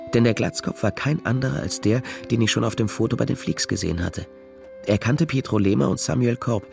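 Gravelly noir detective narrator. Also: male voice, fairly low pitch. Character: dry, worldly male detective narrating his own case.

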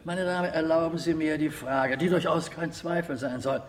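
Man playing higher-voiced, stern committee president. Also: moderate pace, lightly acted. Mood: courteous, probing. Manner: formal, probing, authoritative. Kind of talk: radio drama acting. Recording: hall with long reverb.

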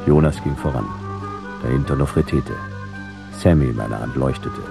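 A hard-boiled private eye narrating a radio play in a very deep, gravelly voice. He is laconic and dry, speaking in clipped phrases over music. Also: moderate pace. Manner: terse, dry, clipped sentences. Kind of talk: noir radio drama narration.

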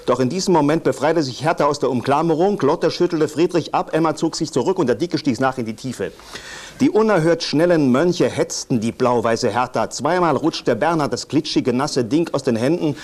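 A man's voice: a little high, normal speed, a little mellow. A man reading a literary text written as a parody of football commentary.

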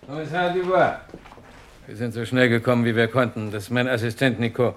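An actor playing a brisk, methodical British police inspector in a 1960s radio crime drama, speaking formally and precisely. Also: male voice, medium pitch, lightly acted. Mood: commanding.

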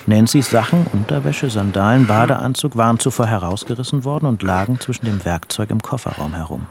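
A man narrating a literary audio drama from a script in a low, creaky voice. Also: brisk, darkish tone, a little hiss or hum.

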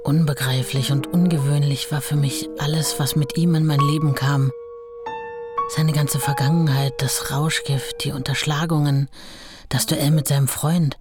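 Radio play: actress as devoted wife, deep female register, earnest and reflective, over clear music. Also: steady tone, moderate pace, lightly acted. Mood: wondering recollection. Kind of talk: radio play letter and recollection. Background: music behind the voice.

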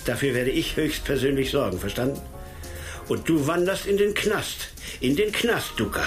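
A man acting a grumpy, stern police chief in a radio crime drama, with a gruff, emphatic delivery. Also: mid-range voice, sing-song, moderate pace, lightly acted. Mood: stern, threatening. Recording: studio drama under prominent music.

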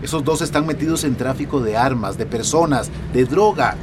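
A man's voice: mid-range voice, brisk, noisy background, close to an everyday voice. An actor with a Latin American accent playing a fatherly, middle-aged police chief in a radio drama, reassuring and authoritative. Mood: firm, reassuring. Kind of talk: Spanish-language radio drama acting.

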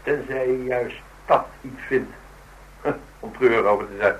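An actor playing a calm, evasive yet affectionate man in a Dutch radio drama, speaking in a casual, understated way. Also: mid-range voice, unhurried, slight background noise, lightly acted, low-quality recording. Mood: tender, coaxing.

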